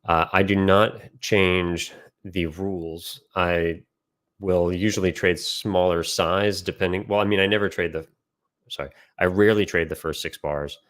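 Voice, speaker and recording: A man giving informal instructional commentary as a trading educator, pausing mid-phrase to think as he explains and answers questions. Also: low voice, fairly even intonation, fairly bright tone. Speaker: trading educator and system developer.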